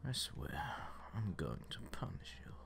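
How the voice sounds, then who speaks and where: Man voicing a very deep, gravelly seductive incubus demon. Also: very animated, fairly bright tone, heavily acted. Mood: commanding, teasing. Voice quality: breathy low murmur, often whispered. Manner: slow, teasing, commanding. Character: seductive, teasing, domineering male incubus demon. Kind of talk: ASMR roleplay, intimate whispering.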